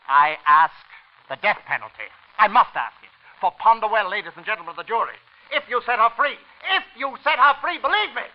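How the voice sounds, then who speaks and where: An actor playing a needling, slangy prosecutor and blackmailer in a theatrical 1940s radio drama style. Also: male voice, high voice. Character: hard-boiled, needling male prosecutor and blackmailer. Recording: dull, noisy old radio recording.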